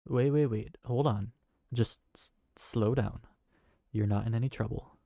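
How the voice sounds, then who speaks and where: A man's voice: medium pitch, a little slow, gravelly, mellow, dry recording. A man voicing a kind, awkward tavern keeper who talks in a hesitant, friendly way. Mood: reassuring. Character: kind, awkward young male tavern keeper named Briar. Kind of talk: fantasy audio roleplay.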